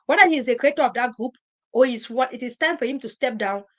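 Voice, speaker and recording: A woman's voice: high-pitched, fast talker, fairly bright tone. A woman hosting a live stream, talking emphatically, repeating her points and firing rhetorical questions. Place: at home in Europe.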